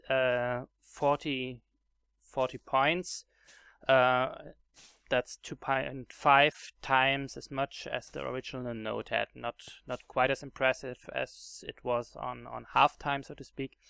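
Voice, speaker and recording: Man, German accent, gravelly voice, smooth tone, halting with ums, dry close mic. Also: medium pitch, sharp tone, no echo. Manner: hesitant, many fillers and restarts. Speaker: Minecraft mod video creator. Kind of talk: casual game tutorial narration.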